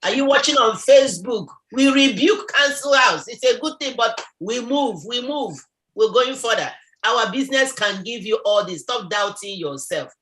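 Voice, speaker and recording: A woman's voice: a little high, animated, normal speed, a bit bright, low-quality recording. A woman speaking effusively on a live call, preacher-like, repeating phrases and crying out religious exclamations. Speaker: guest on a live business call. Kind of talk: exuberant testimony.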